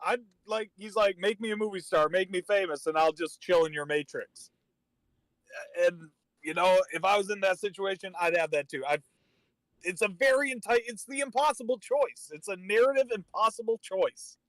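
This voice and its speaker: A man's voice: sits high, moderate pace, fairly bright tone, no room sound, low-quality recording. A man on a discussion panel giving casual, reflective commentary on stories. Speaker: panelist on a fiction after-show.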